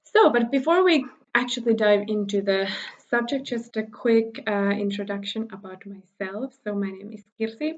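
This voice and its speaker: A woman's voice: mid-range voice, moderate pace, highs cut off. A woman from Finland, a native Finnish speaker, leading a spiritual workshop in casual, chatty English with frequent laughs and filler words, recorded at home. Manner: chatty, laughs often, many fillers and restarts.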